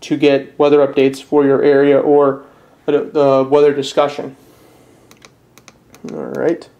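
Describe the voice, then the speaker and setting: A man giving a casual step-by-step product tutorial in a plain, unpolished, slightly halting way. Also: mid-range voice, completely flat delivery, mellow, dull audio. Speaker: presenter of a how-to video.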